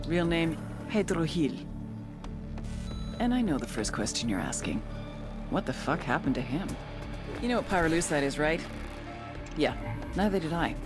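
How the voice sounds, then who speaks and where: Sardonic female spook, low voice, blunt, intimidating, video game cutscene, clear music underneath. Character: tough, foul-mouthed, sardonic female intelligence agent. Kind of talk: video game briefing narration and interrogation.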